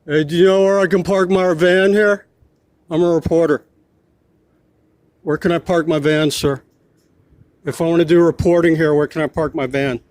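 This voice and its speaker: A man's voice: fairly high pitch, steady tone, moderate pace, long pauses, darkish tone, dry recording. Pushy man filming on the street. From United States. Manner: pushy, repeats questions. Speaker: self-styled street reporter. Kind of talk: confrontational vlog narration. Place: outdoors on a city street.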